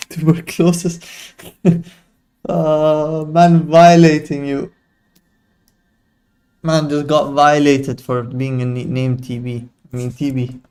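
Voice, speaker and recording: A man's voice: fairly high pitch, level tone, slow, pauses often, warm tone, clear, resonant tone. A young man hosting a live trading stream, chatting casually in slang and teasing his viewers. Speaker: livestream trading host. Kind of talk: casual live commentary to chat.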